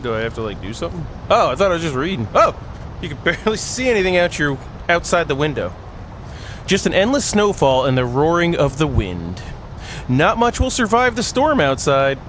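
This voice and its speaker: A man's voice: fairly high pitch, fairly quick, slight background noise. A man hosting a gaming video, reading aloud and breaking off for playful, jokey commentary. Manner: self-interrupting, jokey, animated.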